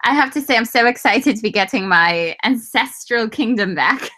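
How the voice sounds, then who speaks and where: A woman's voice: fairly high pitch, lively intonation, moderate pace, sharp tone, a little hiss or hum. A woman on a video call improvising comedy in a put-on English accent, giggling and boasting grandly in character.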